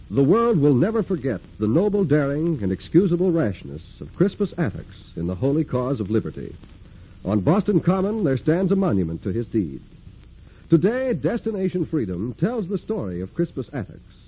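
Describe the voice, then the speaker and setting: A man's voice: fairly high pitch, breathy edge. A man announcing formally and declamatorily, reading scripted narration in the style of 1940s radio. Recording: muffled, hissy vintage radio recording.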